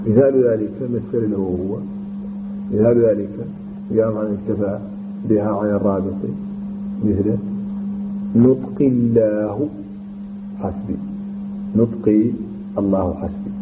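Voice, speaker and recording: A man teaching a classical grammar lesson, explaining slowly with restarts and repetitions and chanting verse lines. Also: fairly low pitch, fairly even intonation. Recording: tinny phone-like line with background hum.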